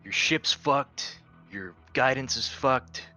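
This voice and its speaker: A man's voice: medium pitch, slow pace, sharp tone, soft background music. A man taking part as a player in a casual tabletop game, wry, playful and blunt.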